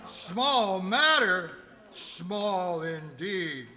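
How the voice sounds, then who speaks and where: An actor playing an old miser in a radio play, shaken and remorseful, stammering and pleading. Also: male voice, high-pitched, sing-song, very slow, bright, forceful tone, exaggerated voice. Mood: wistful, earnest. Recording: reverberant hall-like sound.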